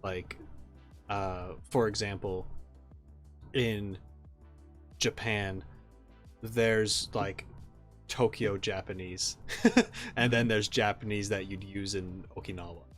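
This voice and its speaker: A man's voice: lowish voice, fairly even intonation, takes it slowly, long pauses, a bit bright, soft background music. A man hosting a casual podcast interview, asking long, loose questions full of fillers like "like" and "uh". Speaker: podcast host.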